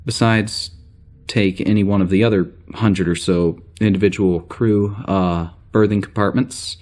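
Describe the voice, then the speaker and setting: A man's voice: on the low side, steady tone, measured pace, darkish tone, natural voice. A man playing a weary, wry spaceship security officer recording a log in an audio drama, rambling casually and hesitantly. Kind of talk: audio drama spoken log entry, naturalistic.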